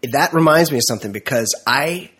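A middle-aged man hosts a joking podcast with a nasal voice, congested from a cold. His tone is husky and a little rough. He teases in a mock-formal, pompous way. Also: medium pitch, expressive, quick pace, fairly bright tone. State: stuffy from a cold. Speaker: podcast host. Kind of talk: casual comedic podcast banter.

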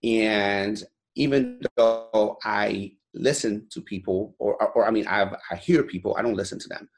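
A man's voice: lowish voice, measured pace, poor audio. Guy in his 40s from iran, blunt and sweary, keeps saying you know, pushy motivational talk. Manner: blunt, profane, fired-up, repeats "you know" a lot. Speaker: guest, gym owner.

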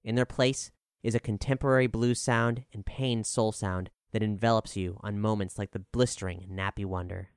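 A man narrating a scripted music review in a measured, articulate, formal way. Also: fairly low pitch, fairly even intonation, clean tone, dry recording, studio quality. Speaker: narrator reading an album review.

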